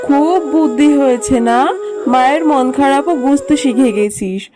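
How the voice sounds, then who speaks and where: A woman's voice: high voice, moderate pace, close to an everyday voice. A woman voicing a poor, weary but loving fox mother in a cartoon, speaking in a plaintive, motherly way. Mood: tender. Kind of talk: children's cartoon voice acting. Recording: music playing behind the voice.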